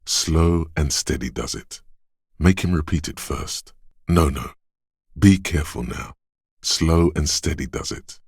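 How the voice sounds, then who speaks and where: Very deep, husky game narrator. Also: male voice, no room sound, clean studio sound, heavily acted. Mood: cautious, deliberate. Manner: measured, deliberate, dramatic delivery. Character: solemn inner voice of physical instinct and marksmanship. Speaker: video game voice actor. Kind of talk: scripted game narration, inner voice.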